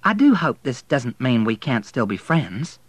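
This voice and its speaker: Man putting on a high-pitched haughty young lady. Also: big pitch swings, measured pace, slightly rough, no echo. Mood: gracious, brisk. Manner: prim, condescending. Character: haughty young Southern lady, brisk and dismissive.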